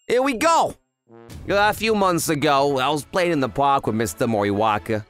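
A man voicing an excitable, chatty young gangster underling who scares easily, in an animated manga dub. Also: on the high side, moderate pace, faint music behind. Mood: excited, wailing. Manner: excitable, exclamatory.

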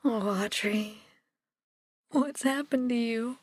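A woman playing an anxious but plucky, kind-hearted young animator in a horror video game, expressive and often talking to herself. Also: on the high side, slow pace, big-room sound. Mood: bewildered, wary. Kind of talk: dramatic horror game voice acting.

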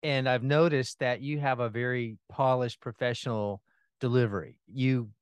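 A man as a podcast guest, talking about gardening with enthusiasm and animated energy, laughing now and then. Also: mid-range voice, normal speed, clean tone, no echo. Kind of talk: casual interview chat. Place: home in Atlanta, remote call.

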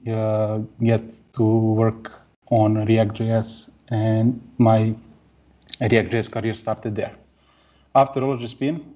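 Steady man, low and flat. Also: lo-fi sound. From Serbia. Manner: plain, steady, many pauses with "uh". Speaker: conference speaker. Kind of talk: tech talk presentation. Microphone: headset or laptop mic on video call.

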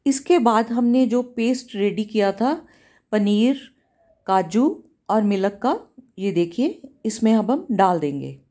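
Soft, mellow-voiced woman explaining a recipe. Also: mid-range voice, slow pace. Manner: calm, instructive, repeats steps. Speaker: cooking channel host. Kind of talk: step-by-step recipe voice-over. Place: home kitchen.

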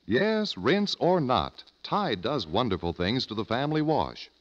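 A man's voice: mid-range voice, big pitch swings, measured pace. A man announcing a 1940s radio commercial with polished, emphatic salesmanship. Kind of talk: 1940s radio advertising pitch. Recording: muffled vintage radio recording.

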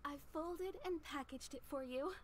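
A woman's voice: high voice, a little slow, slight background noise. A woman voicing a polite, pleasant young kimono shopkeeper in an anime game. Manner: courteous customer service. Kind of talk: scripted anime game dialogue.

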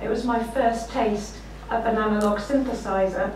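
A middle-aged woman with a slightly croaky voice, giving a conference keynote with self-deprecating anecdotes. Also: a little high, fairly even intonation, normal speed, a little hiss or hum. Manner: warm anecdotal, self-deprecating, often laughing while speaking. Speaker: keynote speaker. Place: lecture room, standing behind a desk. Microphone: room mic, far from speaker. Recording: echoey lecture room from far off.

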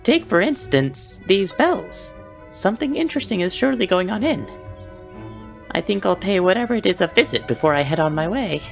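A performer playing a sly, whimsical male beggar in an animated film, with a theatrical, grandiloquent delivery. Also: mid-range voice, normal speed, creaky, faint music behind. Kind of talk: animated film character acting.

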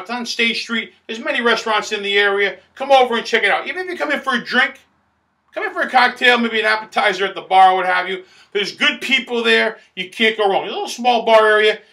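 Middle-aged New man, high and sharp-toned, pushy pitchman energy for a home-made food review show. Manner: loud, boisterous, salesman-like, repeats himself. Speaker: food critic host. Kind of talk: restaurant review to camera. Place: small indoor studio in front of a banner backdrop.

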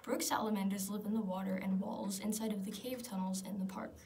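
Teen girl student, steady read-aloud, off-mic with faint hiss. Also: medium pitch, brisk, distant mic. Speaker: student presenter. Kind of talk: school project narration.

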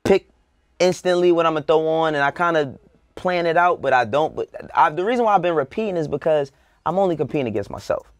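A man's voice: on the high side, animated, dry recording. A man in his thirties, a guest on a studio panel, chatting in an easygoing way. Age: 30s. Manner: easygoing, quick-talking. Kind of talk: casual panel chat. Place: studio set.

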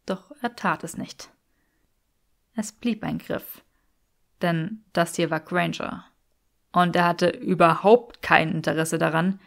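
A woman's voice: on the low side, gravelly. A woman reading scripted fiction aloud as an audiobook narrator.

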